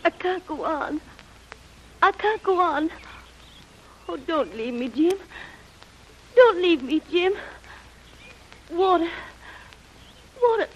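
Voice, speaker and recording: A woman's voice: very high voice, expressive, takes it slowly, pauses often, exaggerated voice. An actress playing a delirious young woman burning with fever, crying and pleading for water in old radio acting. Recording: muffled old radio recording.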